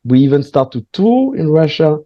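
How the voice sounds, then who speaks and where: A man's voice: a little high, big pitch swings, no room sound, lo-fi sound. Hesitant man. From France. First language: French. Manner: hesitant, frequent pauses and restarts, candid. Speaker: guest, stand-up comedian. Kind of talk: casual interview chat.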